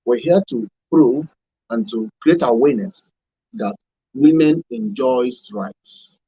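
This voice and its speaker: A man's voice: mid-range voice, slow pace, dark tone, breathy edge, dry recording. A man with a Nigerian accent speaking as a radio panel guest, explaining earnestly and repeating points for emphasis. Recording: dull, band-limited radio sound.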